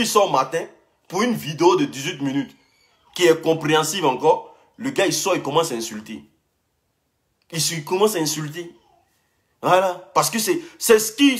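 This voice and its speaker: A young man, ranting heatedly on a live stream and repeating himself as he answers a rival. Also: fairly high pitch. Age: young adult. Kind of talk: casual live-stream rant.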